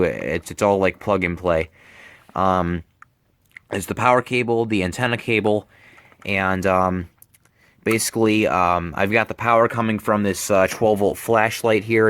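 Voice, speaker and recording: Young guy doing a casual gadget vlog in his room, lowish voice, kinda halting, pretty dry sound. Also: a bit bright, dry recording. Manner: halting, repeats words. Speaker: hobbyist presenter. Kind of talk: casual product show-and-tell vlog. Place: bedroom, indoors. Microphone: handheld camera, close behind it.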